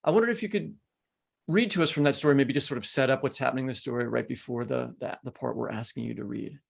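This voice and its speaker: A man's voice: medium pitch, fast, creaky. A man in his fifties hosting a conversational literary interview, rephrasing as he goes. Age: 50s. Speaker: podcast host interviewing an author.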